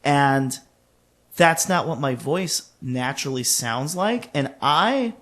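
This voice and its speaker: A man's voice: fairly high pitch, lively intonation, takes it slowly, fairly bright tone. Candid man in his late thirties. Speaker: podcast co-host, singer and music teacher. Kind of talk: casual podcast conversation.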